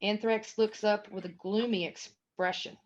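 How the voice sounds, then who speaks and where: A woman's voice: medium pitch, fairly even intonation, a little slow. A woman narrating a screenplay at a table read, reading scene headings and stage action as scripted narration. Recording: dull, lo-fi sound.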